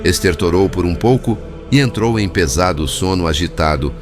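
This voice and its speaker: A man reading scripted audiobook narration in a formal, measured way. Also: low voice, expressive. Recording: music bed behind narration.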